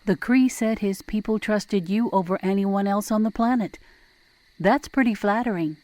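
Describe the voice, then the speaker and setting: A woman playing a supportive, hopeful wife in an audio drama, earnest and gently persuasive. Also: medium pitch, moderate pace, lightly acted. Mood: calm, curious. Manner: reassuring, earnest.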